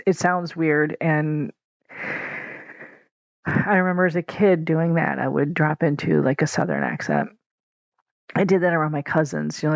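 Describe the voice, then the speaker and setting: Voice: medium pitch, creaky, no room sound. A podcast host from the northern United States talking through a casual, candid solo episode, rambling with fillers and restarts and chuckling often. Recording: dull lo-fi home podcast recording.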